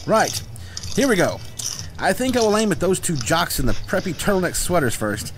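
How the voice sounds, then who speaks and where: Man acting a pompous, animated bumbling schemer. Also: high voice, moderate pace, slight background noise, low-quality recording. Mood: grandiose, eager. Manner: pompous speechifying. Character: bumbling, grandiose schemer leading a gang of misfits. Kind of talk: comedy radio drama acting.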